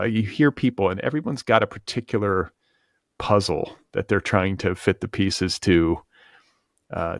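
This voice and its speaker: A man with a low-pitched voice interviews a guest in a conversational way. He is reflective, building long questions in tentative steps. The sound is close and dry, with very little room in it. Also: creaky, no echo. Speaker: podcast host interviewing a writer.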